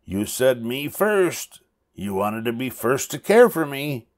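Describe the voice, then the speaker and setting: A man's voice: medium pitch, sing-song, slow pace, pitched above the performer's own voice. A man voicing a small, bossy female witch in a storybook, with a cackling, commanding manner. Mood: bossy, demanding.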